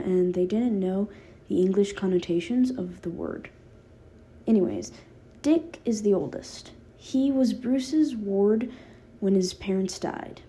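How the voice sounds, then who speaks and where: A woman's voice: mid-range voice, warm tone, a little hiss or hum, close to an everyday voice. A woman voicing a protective, sardonic teenage boy who talks casually and affectionately in a fan fiction audiobook. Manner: casual, rambling teen talk with filler words.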